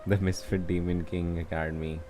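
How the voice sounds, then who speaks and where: Deep-voiced Indian-accented male livestreamer. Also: flat delivery, fairly quick, dark tone, faint music behind. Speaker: game livestreamer. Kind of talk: casual livestream chat. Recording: faint background noise.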